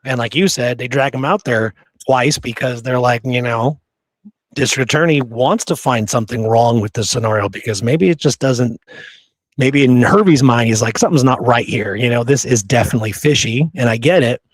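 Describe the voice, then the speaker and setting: A man's voice: medium pitch, moderate pace, no echo. A man hosting a podcast, talking through history in an informal, conversational way. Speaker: podcast host. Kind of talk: casual history discussion.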